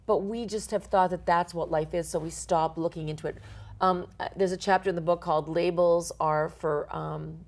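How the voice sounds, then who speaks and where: A woman's voice: lowish voice, normal speed. Middle-aged American woman chatting informally. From born in Portugal, grew up in the United States. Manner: animated, informal, emphatic with playful asides. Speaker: interview guest, author and life coach. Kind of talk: conversational self-help explanation.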